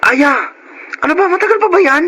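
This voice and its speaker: An actor playing an eager, cheerful Filipino schoolboy who loves to draw, in a radio drama. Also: male voice, very high-pitched, lively intonation, normal speed, sharp tone, full character voice. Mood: nervous. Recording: noisy radio drama track.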